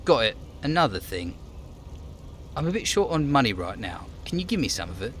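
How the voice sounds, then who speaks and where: A man's voice: mid-range voice, very animated, a little fast, fairly bright tone, slight background noise, voice pushed up. A man voicing a police detective in a video game, asking questions in a hesitant, matter-of-fact way. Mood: inquisitive. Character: male police detective, inquisitive and awkwardly evasive.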